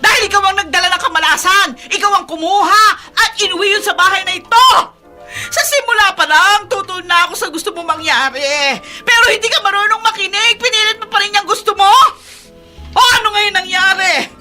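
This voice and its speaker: A woman playing a bitter, resentful wife in a radio drama, scolding with loud, scornful exasperation. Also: extremely high pitch, a little fast, faint music behind, exaggerated voice. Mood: furious, blaming. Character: bitter, resentful, sharp-tongued wife and reluctant guardian. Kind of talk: Filipino radio drama acting.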